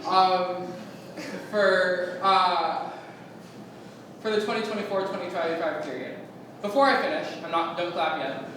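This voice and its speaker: A man's voice: sits high, fairly even intonation, unhurried, pauses often, a bit bright. A young man giving an ad-libbed campaign speech as a speaker candidate, rambling and correcting himself with a wry edge. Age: young adult. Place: large echoey meeting room. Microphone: distant room mic. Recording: echoey hall from far off, noisy.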